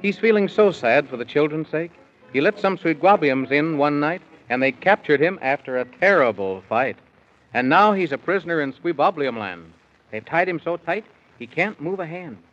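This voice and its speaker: A fairly high-pitched male narrator tells a children's tale in rhyme. His delivery is theatrical and sing-song, as in 1930s radio, and the old recording sounds dull. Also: animated, moderate pace, a bit bright.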